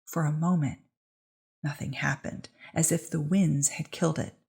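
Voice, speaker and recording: Husky, creaky woman narrating dramatic fiction. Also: low-pitched, fairly even intonation, normal speed, darkish tone. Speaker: story narrator.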